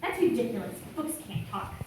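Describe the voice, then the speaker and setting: A woman's voice: a little high, sing-song, a little fast, darkish tone. A woman playing a lazy, naive churchgoer in a puppet show, hesitant and defensive, full of excuses. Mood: surprised. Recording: echoey room, distant mic, faint noise.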